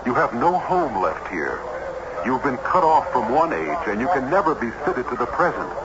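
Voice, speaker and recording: An actor playing an earnest, urgent traveler who narrates a supernatural tale in a literary, dramatic old radio style. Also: male voice, mid-range voice, very animated, normal speed, natural voice. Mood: pleading, earnest. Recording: muffled, very noisy old radio recording.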